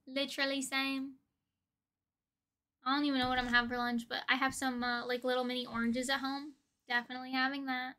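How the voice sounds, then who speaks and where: Young female streamer, high-pitched and bright, playful slangy chat with viewers. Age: young adult. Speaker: livestream host. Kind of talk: casual livestream chat with viewers. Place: indoor room with desk and shelves of collectibles.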